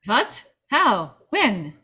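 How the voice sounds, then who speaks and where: A woman's voice: fairly high pitch, huge pitch swings, very slow, sharp tone, breathy edge, exaggerated voice. A performer, heard as a woman, playing earnest, eager men in a scripted comedy table read.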